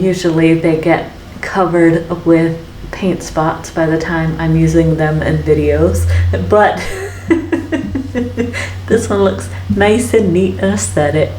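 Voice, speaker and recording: A woman painter chats through her work, reflective and rambling, tossing in "like" and "right?" as she goes. Her voice sits a little low and unhurried. It comes across roomy and slightly off-mic, with a faint hum of ambience behind her. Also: darkish tone, recorded from a distance. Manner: chatty, reflective, rambling with fillers and tag questions. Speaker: artist and channel host. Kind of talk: casual painting vlog talk. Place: art studio, painting while talking. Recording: echoey room, voice off-mic.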